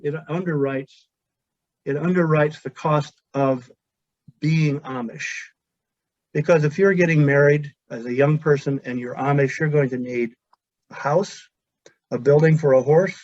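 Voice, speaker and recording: A male chaplain whose first language is Pennsylvania Dutch, giving a measured, earnest webinar talk with pauses and restarts. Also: fairly high pitch, fairly even intonation, a little mellow, no echo. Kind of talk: webinar presentation. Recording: dull, dry lo-fi audio.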